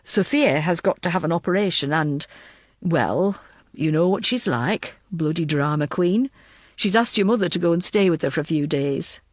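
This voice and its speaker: A woman's voice: on the low side, expressive, normal speed, dry recording, studio quality, natural voice. A woman narrator as a wry, genial older father. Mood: wry, jokey. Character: genial, wry sixty-eight-year-old father.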